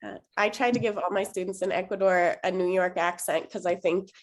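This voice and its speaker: A woman who teaches adult English learners, talking in a chatty way with frequent "like"s and quick laughs. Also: fairly low pitch. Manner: chatty, lively, laughs often, many "like"s. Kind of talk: casual discussion among teachers.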